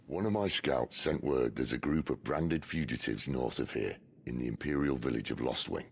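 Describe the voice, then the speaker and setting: A man playing a wry, gruff middle-aged rebel leader in a video game, with a British accent and dry authority. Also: very deep voice, normal speed, a little hiss or hum. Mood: persuasive. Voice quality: gravelly. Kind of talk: cinematic video game acting.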